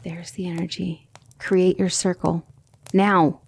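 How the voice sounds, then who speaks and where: Low-voiced gentle demon tutor played by a woman. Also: little pitch movement, breathy edge, heavily acted. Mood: encouraging, focused. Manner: measured, instructive, formal wording, often dropping to near-whisper. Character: gentle, patient demon tutor guiding a magic student, female. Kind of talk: scripted ASMR roleplay.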